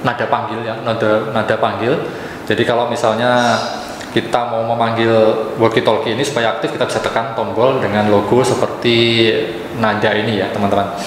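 A young man presents a gadget review in a casual, explanatory way. His voice sits at an average pitch. It is recorded in a very echoey room with long reverb and some faint noise. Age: young adult. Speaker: product reviewer and channel host.

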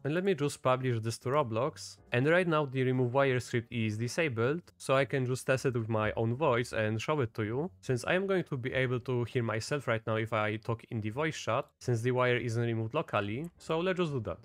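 A man's voice: mid-range voice, fairly quick, few pauses, no echo, soft background music. A man giving a casual, step-by-step screen-recorded tutorial as the host. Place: voice-over at a computer.

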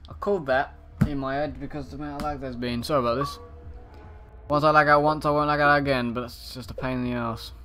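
A man's voice: mid-range voice, steady tone, fast, over music. A young man chatting casually as co-commentator on an online racing stream. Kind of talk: casual chat.

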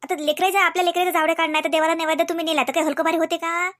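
Woman playing a very high-pitched nagging young mother. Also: flat delivery, racing, fairly bright tone, no room sound, clean studio sound. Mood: insistent. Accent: rural Marathi of Vidarbha. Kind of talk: comedy cartoon dialogue.

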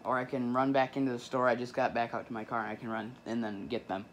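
A man's voice: medium pitch, level tone, fast. A teenage male prank caller speaking politely and hesitantly in a casual phone conversation, recorded in a small indoor room. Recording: faint background noise, room camera.

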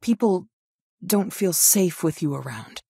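A woman voicing a strict school guidance counselor in a video game, with a formal, measured, reproachful way of talking. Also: on the low side, lively intonation, gravelly, mellow, slightly rough, exaggerated voice. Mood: disappointed, concerned. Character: stern female school guidance counselor, adult, strict and disappointed. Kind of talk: video game voice acting, scolding lines.

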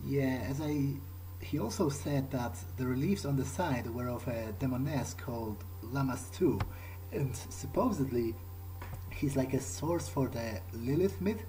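A man's voice: medium pitch, animated, a little slow, dark tone, slight background noise, poor audio. A man hosting a gaming video, improvising in character and thinking aloud in hesitant, musing fragments. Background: game music.

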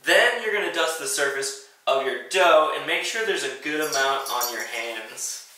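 A man's voice: on the high side, expressive, normal speed, sharp tone, distant mic. A young man co-hosting a playful home cooking tutorial, joking around while giving instructions. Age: young adult. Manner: jokey, teasing, instructional. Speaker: cooking show co-host. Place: small home kitchen, indoors. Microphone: camera mic from a distance. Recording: echoey kitchen from off-mic.

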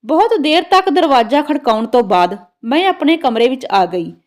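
A woman's voice: high-pitched, big pitch swings, moderate pace. A woman narrating a scripted first-person story in a dramatic storytelling manner.

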